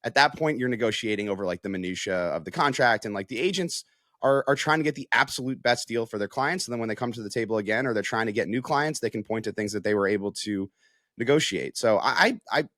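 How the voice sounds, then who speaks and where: Low-voiced American man, casual sports analyst. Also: animated, quick pace, fairly bright tone, no room sound, clean studio sound. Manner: conversational, peppered with "like" and "you know". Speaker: sports reporter, guest analyst.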